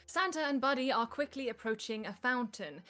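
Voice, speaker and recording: Higher-pitched woman narrating a script. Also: expressive, normal speed, fairly bright tone, soft background music. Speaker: narrator reading stage directions. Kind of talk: scripted narration in live table read.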